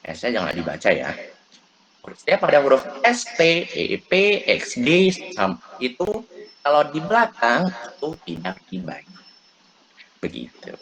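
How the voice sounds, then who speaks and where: Animated young man tutoring French. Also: mid-range voice, rough recording. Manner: animated, drills pronunciation slowly and repeats phrases. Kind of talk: online French lesson.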